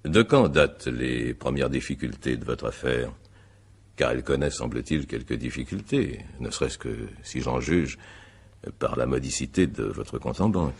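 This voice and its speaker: An actor playing a courteous, insistent examining magistrate in a radio mystery drama, putting questions in a formal, measured and hesitant way. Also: male voice, extremely low pitch, lively intonation, creaky, slightly rough, exaggerated voice.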